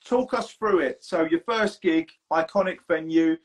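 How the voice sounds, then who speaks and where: A man's voice: a little high, normal speed. A man hosting a casual interview podcast, chatty and blunt, swearing freely. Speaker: podcast host.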